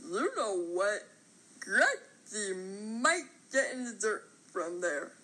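A woman voicing an excitable, brave, childlike clump of grass in cartoon voice lines, exclaiming and talking in the third person. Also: high-pitched, slightly rough, exaggerated voice, low-quality recording.

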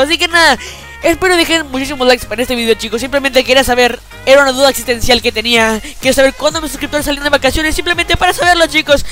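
A man's voice: very high voice, pushed and bright. A teenage boy, talking over his gameplay in casual, slangy chat, saying he feels a bit unwell and peppering every sentence with "chicos". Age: teens. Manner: slangy, repeats "chicos" constantly. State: feeling unwell, a bit weak. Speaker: gaming YouTuber. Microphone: headset.

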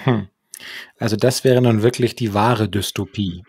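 A man's voice: on the low side, steady tone, measured pace, darkish tone, slightly rough, no room sound. A man co-hosting a podcast conversationally, with wordy, mock-formal flourishes, close to the microphone. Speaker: podcast co-host. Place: small home room with bookshelves. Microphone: close desktop microphone with headphones.

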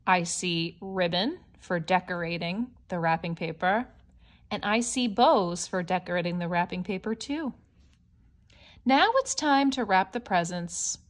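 A woman reading a picture book aloud to young children, explaining simply like a teacher, with expressive stretched words. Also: fairly low pitch, unhurried. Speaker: storybook read-aloud presenter.

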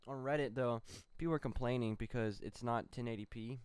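A man's voice: medium pitch, a little fast, a little mellow, smooth, no room sound. Rambling young man reading gaming news. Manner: rambling, loose delivery with many fillers. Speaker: co-host of a gaming news show. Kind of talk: casual gaming news chat. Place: small home studio room. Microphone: studio mic on a boom arm.

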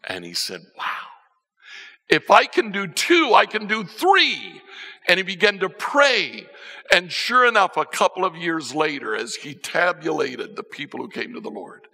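An older man preaching from a church stage, his voice gravelly and slightly husky with a bright edge. He talks unhurriedly, and his pitch rises and falls as he tells his stories. The sound carries a long reverb from the hall. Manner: storytelling, swings between soft and emphatic. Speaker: pastor. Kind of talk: sermon. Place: church stage with band instruments.

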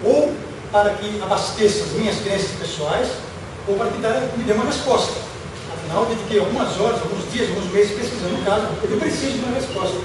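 A middle-aged Brazilian man giving a public lecture in a deliberate, emphatic way, posing rhetorical questions and listing points. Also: high-pitched. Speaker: lecturer, former UFO researcher. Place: auditorium stage, indoors. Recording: echoey hall from far off, noisy.

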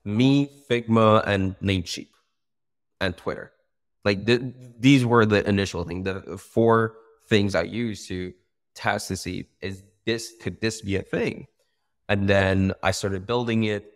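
A young man speaks as a guest over a video call. His voice sits very low with a gravelly fry, and he talks in an animated, loose way, full of fillers and self-corrections. He sounds like a startup founder telling his story. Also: unhurried. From Iran. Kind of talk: casual interview. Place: bright room by a window.

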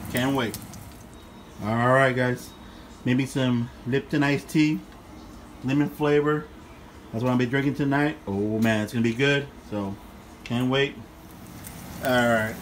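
Casting: relaxed middle-aged guy, home cooking vlogger, chatty, phone-recorded in a kitchen with frying noise. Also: medium pitch. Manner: laid-back, chatty, talks to viewers as "guys". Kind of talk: casual cooking vlog. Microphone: phone camera held while cooking. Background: frying sizzle and kitchen noise.

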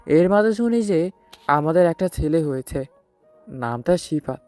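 A man's voice: a little high, lively intonation, a little slow, a little mellow, dry recording, faint music behind. A man playing a betrayed, heartbroken husband who narrates his own story in a sorrowful, earnest way. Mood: sorrowful, shocked. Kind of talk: dramatic first-person audio story narration.

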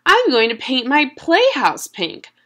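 Woman as an eager little girl, lively and bright. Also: high voice, measured pace, voice pushed up, heavily acted. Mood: excited. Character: cheerful little girl, eager bossy playmate.

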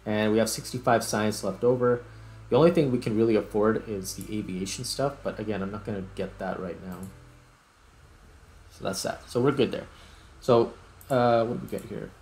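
A man giving casual, unhurried gameplay commentary, thinking aloud as he plays. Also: lowish voice, darkish tone. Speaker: host, gamer.

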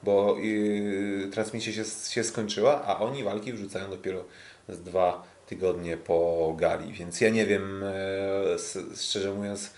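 A man hosting a casual sports podcast, talking in a hesitant, halting way. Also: deep voice, fairly even intonation, a little mellow. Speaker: podcast host. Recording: roomy, echoing sound.

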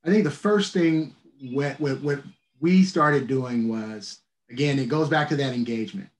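Middle-aged man, transit leader, video call, measured and halting, echoey office room. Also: medium pitch. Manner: measured, restarts phrases, many "uh" fillers. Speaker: transit agency leader, keynote guest. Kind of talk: answering questions on a video call. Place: office at home or work.

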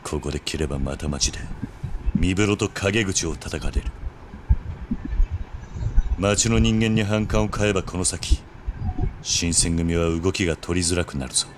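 An actor playing a brooding, defiant samurai swordsman in a video game, blunt, gruff and principled. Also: sits low, normal speed, pauses often, close to an everyday voice. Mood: defiant, reasoning. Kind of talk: dramatic samurai game cutscene acting. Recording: ambient noise behind the voice.

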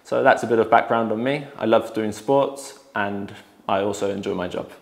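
A man's voice: fairly low pitch, normal speed, vocal fry. A man in his thirties who grew up in Spain, a native Spanish speaker, giving a casual promotional talk as an estate agent. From born in England, grew up in Spain. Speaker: real estate agent in family business. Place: living room, on a sofa indoors. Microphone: lapel mic.

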